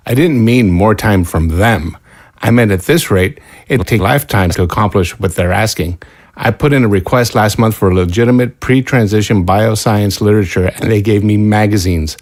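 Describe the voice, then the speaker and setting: An actor playing a frustrated lab scientist who complains bitterly and swears, in a radio drama. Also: male voice, fairly low pitch, normal speed, darkish tone, dry recording, close to an everyday voice.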